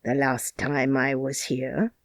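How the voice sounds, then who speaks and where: A woman voicing a crabbed, stubborn old gardener with a Yorkshire dialect, speaking dryly and slowly. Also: rumbling low, flat delivery, dry recording, pitched below the performer's own voice, exaggerated voice. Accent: Yorkshire dialect, put on. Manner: dry, grumbling, slow. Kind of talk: audiobook character reading.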